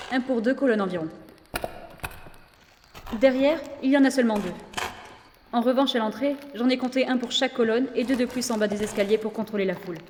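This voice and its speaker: A woman's voice: on the high side, a little mellow, a little hiss or hum, natural voice. A woman playing a clever, spirited young enslaved Athenian in an audio drama, speaking in an articulate, earnest way. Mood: businesslike. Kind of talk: French audio drama acting. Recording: long reverberant hall echo.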